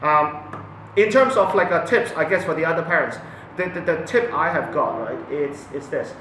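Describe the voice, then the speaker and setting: A man's voice: on the high side, moderate pace, fairly bright tone, recorded from a distance, slight background noise. Hesitant Hong Kong-born man, roomy echo. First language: Cantonese. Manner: hesitant, many restarts and fillers. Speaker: parent sharing his experience. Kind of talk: unscripted talk to camera. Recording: echoey room, mic set back.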